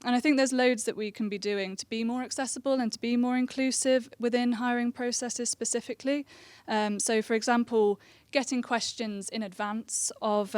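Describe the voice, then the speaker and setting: A young woman in her twenties speaks softly in a fairly high voice, giving a conference talk. Her delivery is quiet but steady. The sound is dry and close, with little room echo. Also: clean tone. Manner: soft-spoken, quiet voice. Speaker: careers assistant, panel speaker. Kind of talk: conference presentation.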